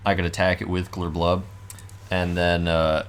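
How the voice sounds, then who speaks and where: A young man with a low, slightly hoarse voice co-hosts a casual board game show. He thinks aloud and explains his tactics, filling pauses with ums and restarts. A faint noise sits under the recording. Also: little pitch movement.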